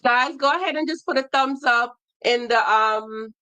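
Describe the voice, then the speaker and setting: A woman's voice: on the high side, moderate pace. A woman hosting a casual livestream, speaking straight to her audience. She talks in an encouraging, conversational way. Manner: warm encouraging introductions, addresses viewers as guys. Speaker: livestream host.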